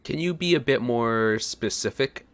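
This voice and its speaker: A man's voice: medium pitch, lively intonation, measured pace. A man giving casual let's play commentary as a streamer. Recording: faint game audio behind the voice. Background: video game sounds.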